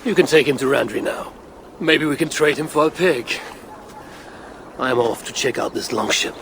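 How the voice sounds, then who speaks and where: A man playing a proud, grim Norse Viking warrior in a video game, gravelly and terse. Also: mid-range voice, normal speed, noisy background, natural voice. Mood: defiant. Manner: terse, cool, threatening.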